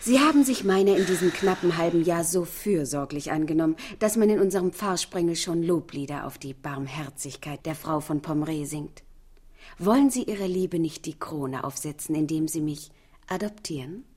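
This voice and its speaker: An actress playing a scheming young social climber in a German radio play, polite, insinuating and calculating. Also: female voice, low voice, normal speed, darkish tone, husky. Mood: sly, pleading. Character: scheming young woman, deferential yet bold, social climber.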